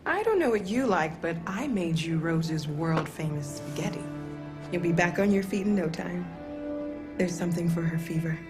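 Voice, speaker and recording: Game role: kind, guarded American mother sheltering fugitives played by a woman, lowish gentle voice, music underneath. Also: animated, moderate pace, close to an everyday voice. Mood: kindly. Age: middle-aged. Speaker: video game actor. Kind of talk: naturalistic cinematic game acting.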